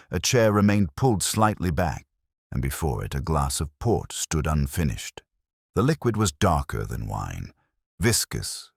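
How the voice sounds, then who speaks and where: A man voicing a melancholy, literary English detective narrating a mystery in a measured, wry, brooding manner. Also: deep voice, no echo, studio quality, exaggerated voice. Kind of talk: scripted first-person mystery narration in radio drama manner.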